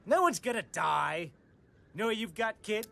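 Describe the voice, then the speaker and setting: Cartoon penguin commander, very high voice, wildly swooping delivery, brash, bossy, excitable, gung-ho. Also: male voice, normal speed, creaky, background noise, exaggerated voice. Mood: reassuring. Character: bold, impulsive little male penguin, a bossy born leader. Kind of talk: animated film comedy acting.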